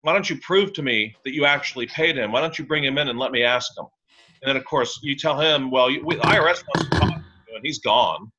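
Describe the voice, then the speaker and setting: A man's voice: medium pitch, a little fast, pushed and bright. A man being interviewed as a tax and real estate lawyer on a podcast, talking in a blunt, opinionated, wry way. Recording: slightly dull lo-fi sound.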